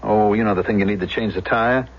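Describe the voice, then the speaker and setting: A man's voice: lowish voice, quick pace. An actor playing an outwardly mild, evasive man in a 1970s radio drama, speaking politely and a little hesitantly. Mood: casually evasive. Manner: polite, hesitant, smooth-talking. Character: outwardly mild, evasive husband and secret firebug. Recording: slightly noisy, dull vintage radio.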